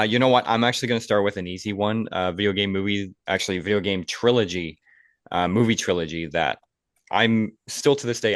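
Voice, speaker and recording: A man's voice: deep voice, dry recording, studio quality. A man co-hosting a podcast in casual chat, talking in a rambling way with emphatic opinions. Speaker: podcast co-host.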